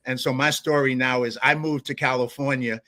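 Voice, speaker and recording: A man with a New York accent hosts a relaxed interview. His voice is bright and forceful, and he talks in a loose, friendly way, padding his questions with fillers. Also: medium pitch. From New York, USA. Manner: friendly, chatty, full of fillers and quick laughs. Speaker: interviewer, host. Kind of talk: casual interview chat.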